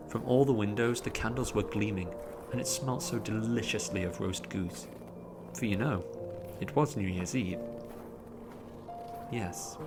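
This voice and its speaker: A man narrating a story in a dramatic, expressive audiobook style, drawing out words theatrically. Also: fairly low pitch. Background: music behind the voice.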